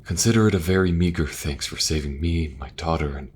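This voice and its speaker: Fantasy audio drama king, male actor: very low voice, earnest, measured, some background noise. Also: a little mellow. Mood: earnest, warm. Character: benevolent fantasy king, earnest brother and father. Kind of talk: fantasy audio roleplay acting.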